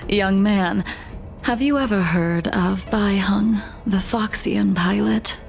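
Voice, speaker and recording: Slow, creaky-voiced, serene ancient swordswoman. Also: mid-range voice, soft background music. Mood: calm. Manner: measured, formal, wistful. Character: serene, world-weary centuries-old swordswoman, quietly commanding. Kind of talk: video game voice acting.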